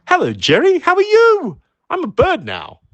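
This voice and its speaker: Narrator putting on a dim, high-voiced cow. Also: huge pitch swings, moderate pace, a bit bright, no echo, highs cut off, full character voice. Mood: chirpy. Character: deluded fickle female cow who thinks she is a bird.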